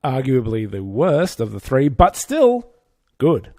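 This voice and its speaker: Animated, creaky-voiced man bantering casually. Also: mid-range voice, slow pace, dry recording, clean studio sound. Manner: jokey, enthusiastic, sweary. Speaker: podcast co-host. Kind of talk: casual banter about films.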